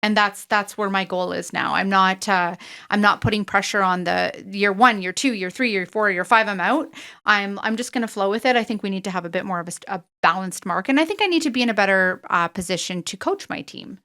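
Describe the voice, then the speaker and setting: A woman in her forties, a podcast host and real estate team leader, talking in a casual, animated and chatty way. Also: mid-range voice, fairly quick. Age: 40s. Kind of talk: casual conversational interview.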